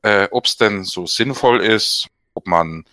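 A man with a fairly low voice chatting on a podcast in an opinionated, wry way. His voice is recorded close and dry. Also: slow, bright, forceful tone, dry recording. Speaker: podcast co-host. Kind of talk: casual chat.

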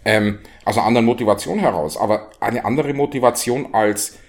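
Animated, ironic low-voiced man. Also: fairly quick. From West Germany. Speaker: discussion partner. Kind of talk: casual political chat.

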